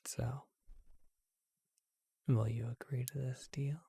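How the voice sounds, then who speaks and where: A performer voicing a charming, courteous, bookish vampire shopkeeper in a breathy, husky whisper, with genteel and hesitant phrasing, for an ASMR roleplay. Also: medium pitch, steady tone, takes it slowly, mellow, no echo, studio quality, exaggerated voice. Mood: coaxing, courteous. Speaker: bookshop owner.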